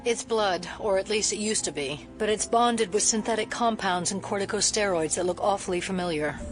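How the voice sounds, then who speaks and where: An actress playing a cool, methodical female FBI agent and scientist in an audio drama, measured and drily reproving. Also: mid-range voice, faint music behind, lightly acted. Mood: focused.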